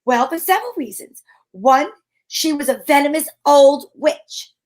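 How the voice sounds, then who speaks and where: A woman playing a sharp-witted, wisecracking private detective in an old-time radio drama, talking in breezy slang. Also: sits high, expressive, measured pace. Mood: wry, explaining.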